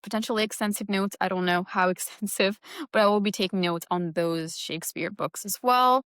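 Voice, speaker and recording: A woman's voice: mid-range voice, fairly quick, a bit bright, clean tone, no echo. Young woman, Creole speaker, casual book-vlog chat, thinks aloud, self-deprecating, dry close sound. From Mauritius. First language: Mauritian Creole. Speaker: book vlogger. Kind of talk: casual reading-plans chat.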